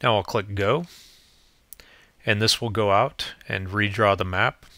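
A man's voice: lowish voice, unhurried, sharp tone, no echo. A man presenting an informal demo as a tutorial, explaining things step by step in a plainspoken way.

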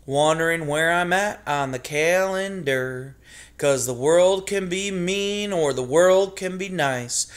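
Guy doing a chill young rocker mouse, higher voice, slangy, says dudes a lot. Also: pushed and bright, heavily acted. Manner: laid-back slang, calls everyone dudes. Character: young male mouse, cool rock-and-roll hero.

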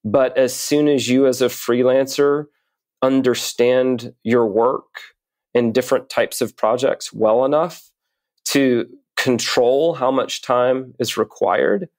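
A man speaking as an interview guest, explaining in a measured, articulate way with deliberate pauses. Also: mid-range voice, fairly even intonation, a little mellow, dry recording. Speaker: podcast guest, writer and coach.

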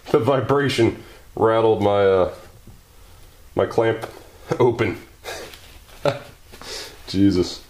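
A man's voice: lowish voice, very slow, pauses often, darkish tone. A man hosting a maker channel, chatting and joking casually as he works in his garage workshop. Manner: joking, exclaiming and thinking aloud while working.

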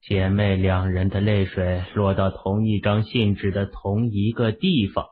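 A man's voice: lowish voice, little pitch movement, a little slow, husky. A man narrating scripted fiction as an audiobook reader. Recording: very narrow, phone-like sound.

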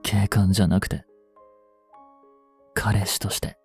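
A man playing a grieving young policeman in an audio drama, speaking in a slow, bitter, intimate murmur. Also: fairly low pitch, fairly even intonation, husky, heavily acted. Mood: anguished tenderness. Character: grieving young policeman mourning his criminal girlfriend, tender. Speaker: voice actor. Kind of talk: Japanese audio drama monologue. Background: music.

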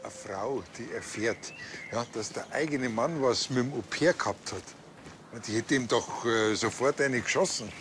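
A man's voice: medium pitch, unhurried, fairly bright tone, slight background noise. An actor with a Bavarian accent playing a blunt, wry village policeman in a TV crime comedy, grumbling dryly and colloquially.

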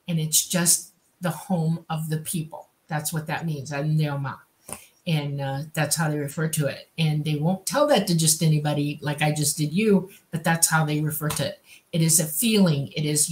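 A woman's voice: deep voice, a little mellow. An older woman with a Swedish accent, a native Swedish speaker, co-hosting and chatting casually over a video call. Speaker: co-host. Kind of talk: casual interview talk.

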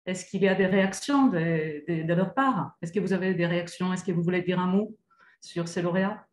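A woman's voice: lowish voice, darkish tone, a little hiss or hum. Courteous woman speaking in a roomy hall. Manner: measured, courteous. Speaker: panel speaker. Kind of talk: formal address.

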